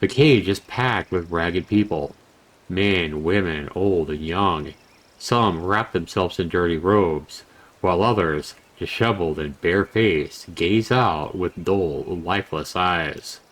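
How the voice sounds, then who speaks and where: A man reading a fantasy game's story aloud as narrator, in a measured, dramatic storytelling manner. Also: deep voice, a bit bright, rough recording. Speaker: game reviewer narrating a visual novel playthrough. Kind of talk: storytelling narration read aloud from on-screen text.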